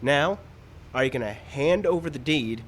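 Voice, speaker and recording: A man's voice: mid-range voice, expressive, moderate pace, a little hiss or hum, lightly acted. A man playing a brash, hot-headed cowboy rancher with folksy talk in a comic radio western. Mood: indignant. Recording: live show with background ambience.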